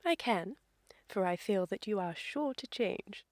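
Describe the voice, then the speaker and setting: A woman's voice: mid-range voice, animated, unhurried, dry recording, studio quality, lightly acted. An actress playing a romantic, self-assured young Victorian society lady in a comic radio play, gushing, with an upper-class English accent.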